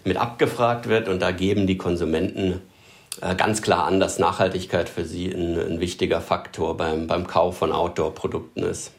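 Deep-voiced consultant, halting. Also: male voice, steady tone, gravelly. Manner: halting, restarts with fillers. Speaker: industry consultant. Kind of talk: interview answers.

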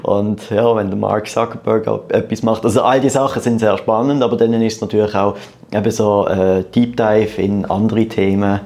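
A man's voice: on the low side, fairly even intonation, moderate pace, a little mellow. A man in his thirties with a Swiss German accent, chatting casually as an interview guest and often repeating words as he goes. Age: 30s. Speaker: podcast guest, start-up entrepreneur.

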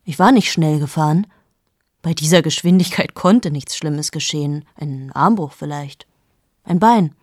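A woman's voice: deep voice, a little slow, darkish tone, no echo, studio quality. An actress in her late thirties playing a cold, amoral journalist in a radio play monologue, speaking intimately to the listener and often dropping into whispers. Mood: anxious. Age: late 30s. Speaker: radio drama lead.

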